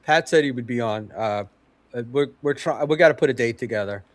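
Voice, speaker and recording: Nasal, stuffy man with deadpan jokes. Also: medium pitch, fairly quick, no echo. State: stuffy nose. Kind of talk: casual podcast banter.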